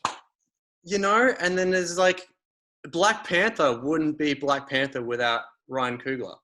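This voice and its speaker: A man's voice: a little high, unhurried, bright, forceful tone. A man, hosting a casual conversation and rambling with many fillers and restarts.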